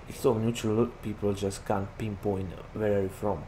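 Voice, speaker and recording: A young man with a Slovak accent and a slightly low voice rambles casually through his gameplay, leaning on "like" fillers. Clear engine and vehicle noise from the game runs under his desk-mic recording. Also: level tone, normal speed, dark tone, rough recording. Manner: rambling, chatty, heavy use of "like" fillers. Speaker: gaming YouTuber.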